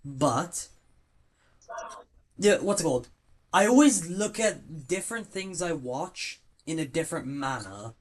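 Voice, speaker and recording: A young man hosting a casual podcast chat, excitable and swearing, often stumbling over his words. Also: on the high side, unhurried, long pauses. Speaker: podcast host.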